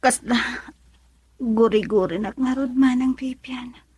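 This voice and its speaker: Breathy, ailing, tired young wife. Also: female voice, a little high, slow, darkish tone, dry recording, heavily acted. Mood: weary, weak. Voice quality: breathy, weak. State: sick, weary, whispery. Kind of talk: radio drama acting.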